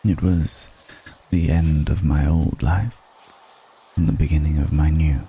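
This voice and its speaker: A man playing an ancient, refined, wistful male vampire in an intimate ASMR roleplay, speaking slowly and formally with measured pauses. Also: extremely low pitch, steady tone, mellow, slight background noise. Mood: reflective, grave. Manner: slow, measured, pausing between phrases, formal wording. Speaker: voice actor in an audio roleplay.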